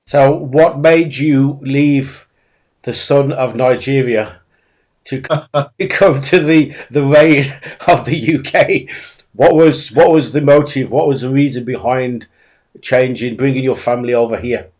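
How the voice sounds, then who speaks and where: A man hosting a video call interview. His voice sits at an ordinary pitch, and he asks his questions in a friendly, lively way. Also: measured pace. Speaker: host. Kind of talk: interview host.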